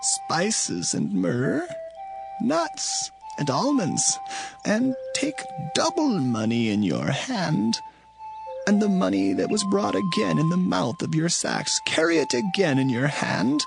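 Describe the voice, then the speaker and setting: An actor playing a grieving, weary old father in a dramatized scripture reading, speaking slowly and mournfully with a gravelly voice. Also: fairly high pitch, sing-song, over music, exaggerated voice, poor audio. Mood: resigned. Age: older. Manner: slow, mournful, sorrowful delivery.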